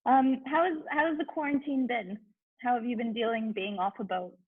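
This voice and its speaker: A woman's voice: a little high, moderate pace, husky, no echo. A woman hosting an online talk, giving a friendly, scripted introduction over a video call. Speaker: host of a club talk series. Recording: tinny phone-like call audio.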